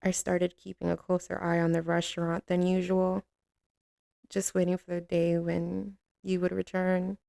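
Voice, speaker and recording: A woman's voice: on the low side, completely flat delivery, normal speed, vocal fry, mellow, dry recording, clean studio sound. A woman playing a tough, commanding mafia boss who turns tender and teasing with her boyfriend, in an intimate ASMR roleplay with streetwise talk. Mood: affectionate, reminiscing. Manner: drawling, teasing, streetwise, with pet names.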